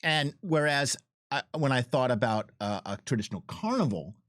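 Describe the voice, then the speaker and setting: A man's voice: mid-range voice, expressive, normal speed, a bit bright, dry recording, clean studio sound. A man giving enthusiastic, conversational developer commentary, eagerly breaking off and restarting his thoughts.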